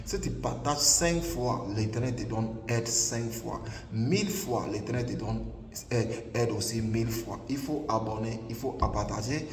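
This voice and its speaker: A man's voice: medium pitch, measured pace. A man from Ghana with a Ghanaian accent and English as his first language, preaching an emphatic, repetitive sermon. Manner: emphatic, repetitive, filler "là" everywhere. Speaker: prophet preacher. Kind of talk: online sermon. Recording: echoey hall, distant mic, faint ambience.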